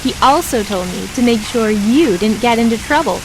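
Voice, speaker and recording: A woman's voice: fairly high pitch, animated, normal speed. A woman acting a smug, casually teasing young local guide in an audio drama, breezy and knowing. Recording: constant rain-like hiss behind voice.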